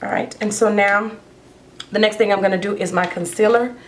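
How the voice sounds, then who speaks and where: Chatty hoarse woman doing her makeup. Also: mid-range voice, steady tone, brisk, slight background noise. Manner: chatty, rambling, talks while doing makeup. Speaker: beauty vlogger. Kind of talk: casual get-ready-with-me chat.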